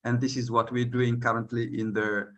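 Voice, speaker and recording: A man from northern Senegal speaks on a panel discussion over a video call. He talks in a hesitant, searching way, with frequent fillers. His pitch sits in an ordinary middle range. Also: flat delivery, moderate pace, dull audio. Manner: hesitant, with many fillers and "you know". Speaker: panel speaker, eco-village leader. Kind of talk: unscripted speech on a panel.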